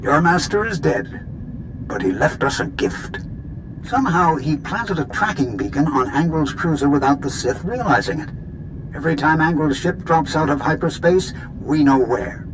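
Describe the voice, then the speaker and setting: A man playing a composed, formal advisor who speaks in a measured, precise way in a game cutscene. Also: a little high, big pitch swings, noisy background. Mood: businesslike. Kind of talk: video game cutscene acting.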